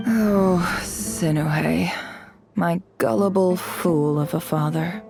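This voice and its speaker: A woman playing a cold, cunning young female avenger in a video game, speaking in a smooth, measured, disdainful way. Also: low voice. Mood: bitter, gloating.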